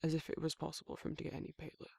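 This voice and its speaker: Guy doing a protective werewolf bf for asmr, super raspy n breathy, soft teasing murmur, really close dry sound. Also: a little high, monotone, racing, dry recording, full character voice. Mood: teasing, affectionate. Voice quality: husky, breathy, gravelly, often dropping to a whisper. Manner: casual, colloquial, soft-spoken intimate murmur with soft chuckles. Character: protective, teasing, affectionate male werewolf boyfriend, down-to-earth. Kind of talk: intimate ASMR boyfriend roleplay.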